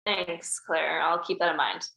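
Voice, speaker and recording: A woman's voice: on the low side, steady tone, measured pace, gravelly, pushed and bright, exaggerated voice. A woman reading the part of a guarded, wry teenage girl at a script table read, with a dry delivery. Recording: echoey room.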